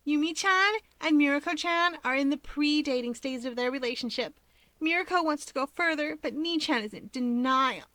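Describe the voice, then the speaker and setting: Lady doing an excitable gossipy teen girl from a story, high bright voice, gushes over everything. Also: normal speed, no room sound. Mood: gushing, excited. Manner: gushing, emphatic. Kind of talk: acted dialogue in story reading.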